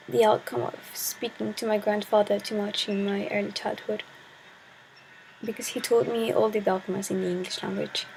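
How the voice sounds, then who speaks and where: Shy teenage girl from Chile, native Spanish speaker, with a creaky, monotone delivery. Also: mid-range voice, a little hiss or hum, rough recording. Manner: hesitant, shy, searching for words. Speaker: vlogger. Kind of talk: casual talk to camera.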